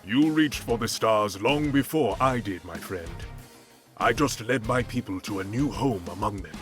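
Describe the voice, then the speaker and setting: A man's voice: fairly low pitch, expressive, normal speed, faint music behind, natural voice. An actor playing a dignified, resolute warrior king in a superhero video game, speaking formally and with composure. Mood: gracious.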